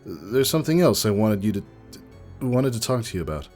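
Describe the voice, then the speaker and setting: A man's voice: on the low side, big pitch swings, a little fast, darkish tone, soft background music. A man voicing a nervous young stallion, an earnest worried friend who stammers and pleads. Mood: hesitant. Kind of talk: fan audio drama acting.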